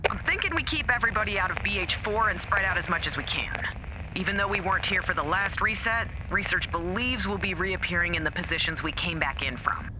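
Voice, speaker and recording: A man acting in an audio drama as a practical technical team leader, brisk and matter-of-fact over a radio. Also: sits high, expressive, background noise.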